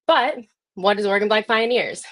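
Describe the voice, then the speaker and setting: A woman's voice: medium pitch, moderate pace. American woman hosting an online show. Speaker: host. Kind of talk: scripted live online show introduction.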